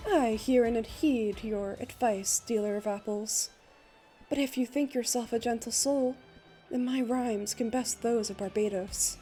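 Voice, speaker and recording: Woman as a playful, mellow-voiced boy bard. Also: fairly high pitch, fairly even intonation, unhurried, soft background music, close to an everyday voice. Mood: courtly, wry. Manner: theatrical, lilting rhymed speech. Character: cheeky young male traveling bard, playful and rhyming. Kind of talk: manga dub acting.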